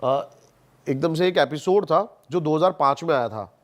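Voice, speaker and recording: Emphatic, higher-voiced man. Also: moderate pace. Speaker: podcast guest. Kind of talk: casual podcast chat, storytelling and explaining.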